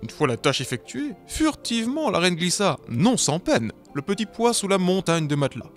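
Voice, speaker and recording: A man's voice: high voice, very animated, moderate pace, faint music behind. A man narrating a cartoon fairy tale in a mock-solemn, playful way. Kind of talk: storybook narration.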